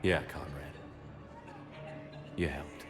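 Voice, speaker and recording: An actor playing a steady human soldier commander in a video game cutscene, speaking in a calm, dry, deadpan way. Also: male voice, very deep voice, drawn-out words, over music, full character voice.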